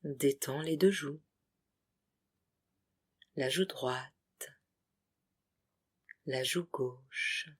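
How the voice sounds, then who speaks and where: Casting: meditation guide, mid-pitched voice, breathy and hushed, soothing short phrases for deep relaxation. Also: very slow, long pauses. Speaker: yoga nidra guide.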